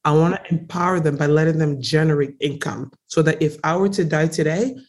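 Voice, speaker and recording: A young adult woman from Congo, a native French speaker, telling her story as an interview guest in a casual, emphatic and animated way. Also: low-pitched, brisk. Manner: emphatic, animated, frequently stresses words. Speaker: guest entrepreneur.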